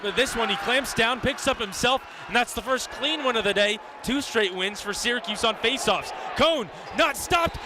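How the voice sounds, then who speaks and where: A young man calling live sports on the radio in clipped, vivid present-tense phrases. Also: high-pitched. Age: young adult. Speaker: radio play-by-play announcer. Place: live at a lacrosse game. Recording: noisy live stadium broadcast. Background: venue ambience and noise.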